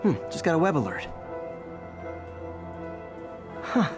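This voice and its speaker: Awkward young american superhero guy, kind, unsure of himself, lightish higher voice, game cutscene with music. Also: big pitch swings, fast. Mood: wry, concerned. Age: young adult. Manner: hesitant, boyish, self-effacing. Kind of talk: cinematic video game acting. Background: background music.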